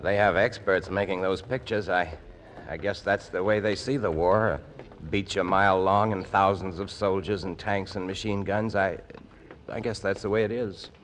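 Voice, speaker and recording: An actor playing a troubled, shy young soldier in an old radio drama, speaking in a halting, self-effacing stammer. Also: male voice, low voice, steady tone, a bit bright. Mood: hesitant, evasive. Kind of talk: 1940s radio drama acting. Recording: noisy old radio recording.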